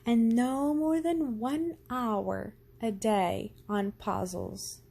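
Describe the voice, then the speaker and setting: A woman's voice: fairly high pitch, steady tone, very slow, gravelly, dark tone, slightly rough, exaggerated voice. A woman voicing a caring little girl who plays doctor in a storybook, earnest and inquisitive. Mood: excited, confident. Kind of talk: storybook character voice.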